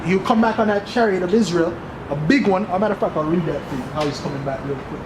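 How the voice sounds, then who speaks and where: High-voiced man preaching amid loud noise. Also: quick pace. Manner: insistent, repeatedly seeking agreement with tags like right and okay. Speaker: street preacher. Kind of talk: informal street preaching and scripture explanation. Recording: echoey, very noisy outdoor street recording.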